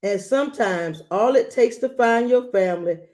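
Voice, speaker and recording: A woman reading a children's picture book aloud expressively and gently, adding small asides as she goes. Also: fairly high pitch. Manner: gentle, unhurried, adding commentary to listeners.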